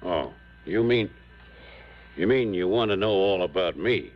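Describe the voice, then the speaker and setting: A deep-voiced miner comes through a dull vintage radio recording. He talks haltingly and with guarded politeness, uneasy and defensive, his pitch moving freely in radio-play style. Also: lively intonation. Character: uneasy Old West town miner, defensive and wary. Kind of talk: 1950s-60s American radio drama acting. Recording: dull old radio recording, faint music.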